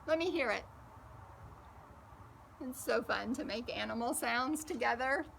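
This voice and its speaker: Woman for picture-book read-aloud: high voice, unhurried, gently encouraging with little listeners. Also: vocal fry, slight background noise. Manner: gentle, encouraging, addressing young children directly. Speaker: children's storyteller. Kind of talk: animated storybook read-aloud with questions to young children.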